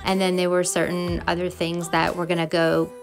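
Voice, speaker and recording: A woman's voice: lowish voice, completely flat delivery, normal speed. A middle-aged American woman giving friendly, encouraging voiceover narration as a home and cleaning vlogger, in a confiding way. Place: voice-over recorded in a closet at home. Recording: music playing under the voice. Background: background music.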